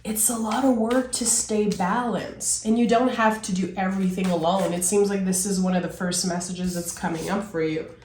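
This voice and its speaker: A woman reading tarot cards with a raspy, slightly hoarse voice as she recovers from being sick, speaking in a soothing, reflective way. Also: medium pitch, fairly even intonation, darkish tone, roomy sound, slight background noise. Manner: slow, soothing, reflective delivery. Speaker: tarot reader.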